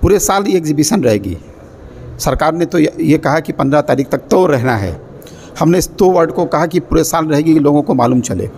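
A middle-aged man, a university official, giving an earnest, measured statement to reporters, pausing often to choose his words. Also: a little high, lively intonation, darkish tone, a little hiss or hum. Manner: earnest, measured speech with many pauses and fillers. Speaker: university official addressing the press. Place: indoor exhibition corridor with people around. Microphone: cluster of handheld press mics. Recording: crowd chatter behind.

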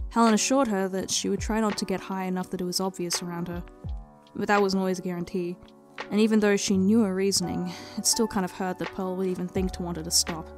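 A woman's voice: medium pitch, darkish tone. A woman narrating her own written story as a dramatic reading, in the manner of a storyteller. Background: background music.

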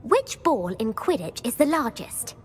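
A woman's voice: fairly high pitch, big pitch swings, a little slow, faint music behind. A young woman voicing a bookish student in a video game who eagerly lectures and quizzes others. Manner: eager and lecturing, bursts of praise.

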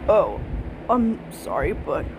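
Man voicing an animated, cheerful pony doctor. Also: high-pitched, takes it slowly, background noise, full character voice. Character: cheerful, clever adult male pony doctor. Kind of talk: fan comic dub acting.

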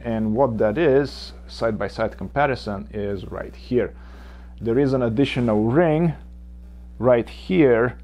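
Slow-talking man in his 40s, native Bulgarian speaker, methodical workshop gear explainer. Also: on the low side, a little mellow. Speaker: woodshop channel host. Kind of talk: unboxing and gear demo. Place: cluttered home workshop.

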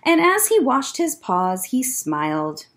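A young woman reading a children's picture book aloud as a librarian storyteller, expressive and deliberate. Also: fairly high pitch. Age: young adult. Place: indoor library room.

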